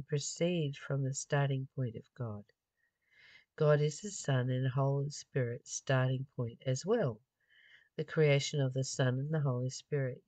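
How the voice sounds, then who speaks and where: A mid-pitched voice reads and comments on spiritual study material. The delivery is unhurried and somewhat halting, with pauses inside phrases. The sound is dry, with very little room around it. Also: dry recording. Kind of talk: reading aloud with informal reflective commentary.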